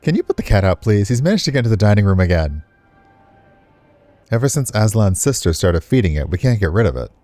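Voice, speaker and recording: A man's voice: fairly low pitch, very animated, fast talker, mellow, dry recording, soft background music. A man voicing an easygoing, frank male restaurant worker in a visual novel. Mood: exasperated.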